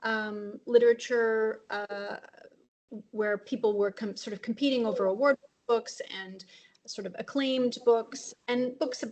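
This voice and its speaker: Woman translator on a panel, slightly high-pitched, musing through her answers. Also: fairly even intonation, measured pace, a little mellow. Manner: reflective, thinking aloud. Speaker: translator and publisher. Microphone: video call.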